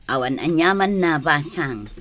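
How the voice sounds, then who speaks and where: A woman's voice: low voice, lively intonation, unhurried. A woman acting a strict, protective middle-aged mother in a radio drama, speaking firmly and matter-of-factly. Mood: firm, motherly.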